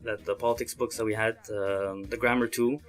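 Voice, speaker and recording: A man's voice: on the low side, steady tone. A man in his early twenties from Montreal, Canada, giving earnest, hesitant interview answers as a student leader. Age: early 20s. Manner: halting, hesitant phrasing. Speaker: interviewee, student association chairman.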